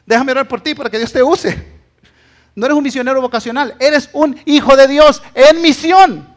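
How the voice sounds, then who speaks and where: A man's voice: extremely high pitch, normal speed. A man with a Guatemalan accent preaches as a pastor, emphatic and exhortative, often checking in with short tag questions. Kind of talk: sermon.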